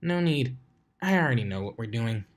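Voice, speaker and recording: A man's voice: mid-range voice, lively intonation, normal speed, close to an everyday voice. A man voicing a brash, teasing young female pegasus pony in a casual, cocky way for a fan fiction reading.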